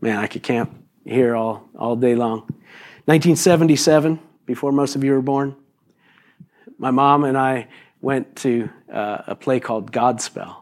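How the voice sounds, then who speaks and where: A man in his fifties, a pastor, preaching a sermon in a loose, conversational way, his voice a little raspy today, with many fillers and restarts. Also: medium pitch, a little slow, a little mellow. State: raspy voice this morning.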